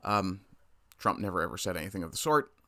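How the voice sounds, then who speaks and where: Male streamer host, blunt and profane, bright forceful tone, dry close mic. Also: low-pitched, lively intonation, fast, dry recording. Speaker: livestream commentator. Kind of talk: casual political commentary, reading chat.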